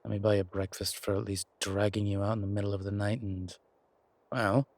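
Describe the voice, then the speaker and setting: A man playing a commanding male incubus demon investigator in an audio roleplay, terse and colloquial, with a low, husky voice. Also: level tone, a little fast, a little mellow, no room sound. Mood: wry, friendly. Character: gruff, urgent male incubus demon investigator, protective, wryly friendly. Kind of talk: immersive audio roleplay acting.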